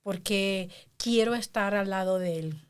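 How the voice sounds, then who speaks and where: A woman's voice: medium pitch, measured pace, smooth. Chatty middle-aged woman host. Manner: searching for words, warm and chatty with frequent restarts. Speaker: podcast host. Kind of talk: casual interview chat.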